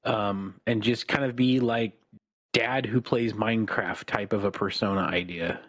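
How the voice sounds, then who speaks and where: A man co-hosting a podcast, chatting casually in a calm, even-tempered voice that is not raspy. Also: fairly low pitch, a little slow. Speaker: podcast co-host. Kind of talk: casual chat.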